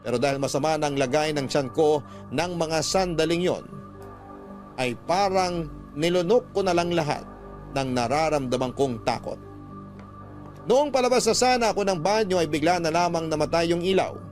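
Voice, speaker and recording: High-pitched Filipino man narrating over music. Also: normal speed, a little mellow. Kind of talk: dramatic first-person scripted story narration. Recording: music bed behind narration.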